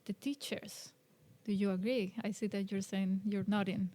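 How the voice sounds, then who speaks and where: A woman from Colombia, hosting a casual bilingual podcast chat and filling pauses with "um" and "uh". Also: medium pitch. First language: Spanish. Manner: relaxed, chatty, with many fillers. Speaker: podcast host.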